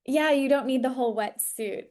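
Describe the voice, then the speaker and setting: A woman's voice: sits high, normal speed, darkish tone. A woman from Hawaii hosting a podcast, asking her guest questions over a video call in an enthusiastic, affirming way. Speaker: podcast host.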